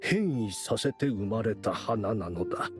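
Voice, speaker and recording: Weary older game mentor, low, slow, grave, clear music behind. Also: male voice, expressive. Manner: grave, deliberate, confessional. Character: solemn, weary older male perfumer and mentor. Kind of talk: Japanese game voice acting.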